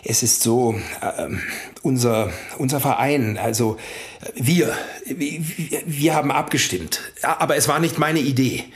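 An actor in a German radio play, playing a nervous older doctor who speaks apologetically, with hesitation and stammering. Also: male voice, mid-range voice, measured pace, close to an everyday voice. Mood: sheepish.

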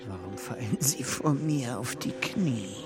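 An actor playing an excitable, bureaucratic Nazi broadcasting chief in a German radio play. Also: male voice, measured pace, loud music behind the voice, close to an everyday voice. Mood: eager, boastful. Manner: officious, declaiming, swinging between hushed and shrill shouting.

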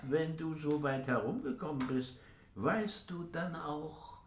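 An actor playing a wary, grumbling, sly dwarf smith in a fantasy radio drama, hesitant and muttering. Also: male voice, on the high side, slow pace. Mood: scheming. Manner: hesitant, muttering, with stammered hums. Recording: roomy echo with faint noise.

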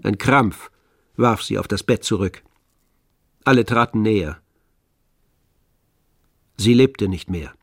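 A man's voice: fairly low pitch, takes it slowly, gravelly, long pauses, no echo, studio quality. Grave male radio drama narrator.

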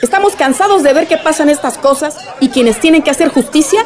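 A woman's voice: high voice, animated, brisk, soft background music. A woman acting an indignant villager in a radio drama, defiant and insistent.

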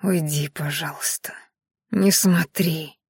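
A woman voicing a dying young woman in an audiobook, hoarse and faint, weary yet resolute. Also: medium pitch, unhurried, no echo, clean studio sound. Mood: weak pleading. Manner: weak, quietly commanding.

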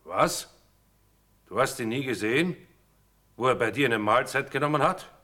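An actor playing a cold, officious army sergeant, probing and commanding, in a stage drama style. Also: male voice, mid-range voice, expressive, normal speed, sharp tone. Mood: coldly probing.

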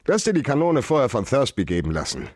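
An actor playing a hard-boiled, cynical private detective in a radio crime drama, speaking in a terse, sardonic, interrogating manner. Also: male voice, mid-range voice, wildly swooping pitch, fast, darkish tone, no room sound, clean studio sound. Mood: coldly accusing. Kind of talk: 1990s German radio crime drama acting.

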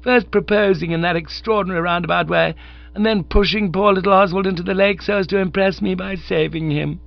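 A man's voice: fairly even intonation, a little fast, gravelly, dry recording, soft background music. A man reading aloud while voicing a bossy, hearty young woman who patronisingly takes charge of people. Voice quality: booming laugh, managing, patronising. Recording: dull lo-fi recording.

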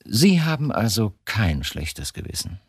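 An actor in a radio drama playing a troubled, probing chief of criminal police who questions insistently. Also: male voice, lowish voice, sing-song, measured pace, breathy edge, no room sound, studio quality, heavily acted. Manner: interrogating, insistent short questions.

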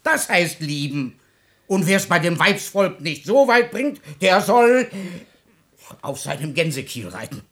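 Blustering older father, swinging wildly in pitch. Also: expressive, unhurried, bright, forceful tone, exaggerated voice. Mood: angry, blustering. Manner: blustering, earthy, exclamatory. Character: blunt, hot-tempered older musician father, grumbling and loving. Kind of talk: classic German stage drama radio acting.

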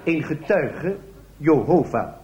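A man's voice: fairly high pitch, expressive, takes it slowly, muffled recording, heavy background noise. Een man die een formele radio-omroeper speelt en met nadruk en plechtig een hoorspel aankondigt. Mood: mock solemn. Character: formal, solemn old-style radio announcer. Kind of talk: mock radio drama announcement.

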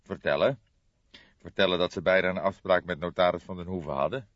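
A shrewd, grey-haired old detective, played by a male audiobook reader, calm and deliberate. The voice is very low and measured, recorded close and dry with slightly dulled sound. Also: dry recording, lo-fi sound, lightly acted. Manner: measured, deliberate, soft-spoken.

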